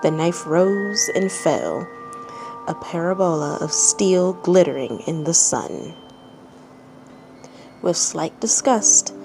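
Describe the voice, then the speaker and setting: A woman reading a story aloud as a dramatic narrator, in the manner of someone sharing fan fiction. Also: lowish voice. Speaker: fan fiction reader and channel host.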